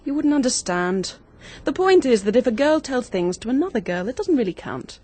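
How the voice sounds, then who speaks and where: A resourceful young sleuth in a radio play. Her voice sits fairly high and a little husky, with animated intonation. She is brisk, knowing and sure of herself. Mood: knowing, eager. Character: brisk, resourceful young woman amateur sleuth, confident and bossy. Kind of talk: 1940s-style British radio drama acting.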